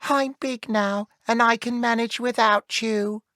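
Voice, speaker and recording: A woman's voice: fairly high pitch, steady tone, measured pace, a bit bright, no echo, clean studio sound. A woman voicing a small, tender-hearted young male elf in a children's storybook, earnest and childlike. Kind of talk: children's storybook read aloud.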